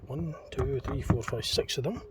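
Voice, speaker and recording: A man thinking aloud in an unscripted hands-on demonstration, speaking in a hesitant, tentative, halting way. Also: medium pitch. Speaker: hobbyist demonstrator in a homemade teardown video. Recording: distant mic, clearly noisy homemade recording.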